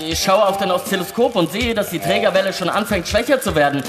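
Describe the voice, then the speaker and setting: A man's voice: sits high, fast, music in the background, lightly acted. An actor in a staged radio play reading, playing a chatty male mission radio operator with a newsreader's delivery that can turn breezy. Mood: breezy, teasing.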